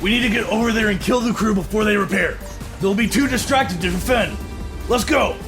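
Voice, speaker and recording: A man as a very high, creaky villainous pirate captain. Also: a little fast, over music. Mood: urgent, commanding. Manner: barking orders, urgent. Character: ruthless, bossy rival pirate captain, excitable villain. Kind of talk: fully voiced pirate audio drama.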